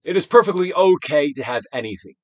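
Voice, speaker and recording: A man giving a dramatic, emphatic audiobook reading of a self-help book, moving between loud declarations and hushed phrases. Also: on the high side, expressive, brisk, dry recording. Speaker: actor reading a book aloud.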